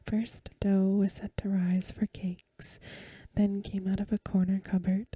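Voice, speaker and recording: A woman reads a story aloud in a hushed, breathy, mostly whispered ASMR style, unhurried and soothing, close to the microphone. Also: mid-range voice, little pitch movement, no room sound. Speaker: ASMR reader and host. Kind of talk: soft-spoken ASMR reading of a Victorian story.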